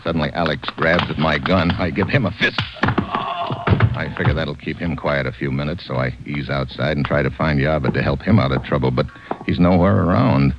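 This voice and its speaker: On a hissy, muffled old radio recording, a tough American man on the run with a very deep voice. He narrates and talks in clipped, wisecracking slang, in a hard-boiled radio adventure style. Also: moderate pace. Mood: tense narration. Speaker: narrator and lead actor.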